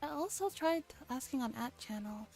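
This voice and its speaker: Female ASMR narrator for visual novel read-aloud, slightly high, warm soft tone, background music. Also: expressive, normal speed, vocal fry.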